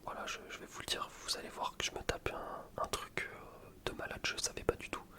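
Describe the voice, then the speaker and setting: A man's voice: a little fast, sharp tone. A man speaks entirely in a whisper, as in an ASMR gaming video. He comments on gameplay in casual slang, rambling from one explanation to the next.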